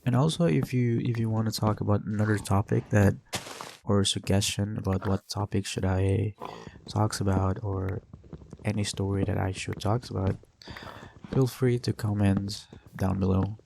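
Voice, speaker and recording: A man's voice: on the low side, steady tone, normal speed, gravelly, warm tone, slight background noise. A young man with an Indonesian accent, chatting loosely while playing a game, with lots of fillers and restarts. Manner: rambling, many fillers and restarts. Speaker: gaming channel host.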